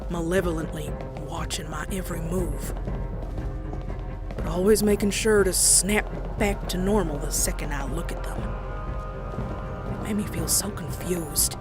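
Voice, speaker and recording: A woman's voice: on the low side, a little slow, long pauses, natural voice. A woman playing an anxious young farm pony with a Southern US accent, telling a horror tale in a folksy, uneasy way. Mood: brooding, uneasy. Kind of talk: dramatic first-person horror narration and dialogue. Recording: prominent music behind the voice. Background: music.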